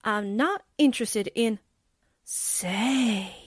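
An actress playing a breezy, playful young gal with slangy talk in 1940s-style radio drama. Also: female voice, a little high, drawn-out words, breathy edge, no echo, studio quality, exaggerated voice. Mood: curious, wary. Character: breezy, man-hungry young gal, reporter's chummy sidekick.

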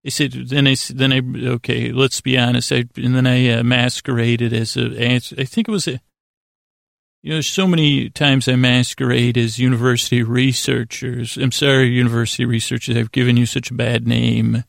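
Creaky-voiced man, halting and rambling. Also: mid-range voice, level tone, no room sound, clean studio sound. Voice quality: gravelly, creaky voice. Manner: halting, meandering, stammering self-corrections. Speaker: sleep podcast host. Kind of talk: rambling, improvised bedtime monologue.

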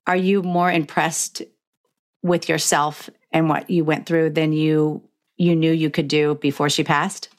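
A woman's voice: deep voice, little pitch movement, a little slow. A middle-aged woman hosting a heartfelt conversational interview, reflective and acting out imagined remarks as she talks. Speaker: podcast host interviewing a guest.

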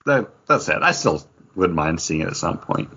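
A man's voice: low voice, very animated, moderate pace, sharp tone, breathy edge. A man co-hosting a podcast, talking casually about entertainment news. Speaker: podcast co-host. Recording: dull, lo-fi podcast audio.

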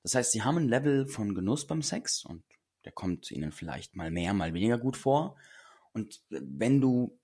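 Slightly hoarse, low-voiced man hosting a podcast. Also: sing-song, normal speed, dark tone. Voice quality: husky. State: a bit hoarse. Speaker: podcast host and coach. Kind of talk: solo podcast talk.

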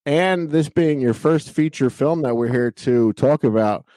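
A man hosting a podcast, asking a guest about his career in a laid-back, halting way. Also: mid-range voice, dark tone, no room sound. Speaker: podcast host. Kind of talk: casual interview.

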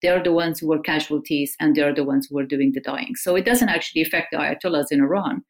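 Interview guest: a woman from Afghanistan with a low voice, measured and emphatic. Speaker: guest, journalist. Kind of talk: interview answers.

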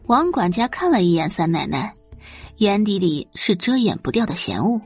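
A female audiobook narrator reading dramatic fiction. Her medium-pitched voice is husky and very animated, set over faint background music. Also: normal speed.

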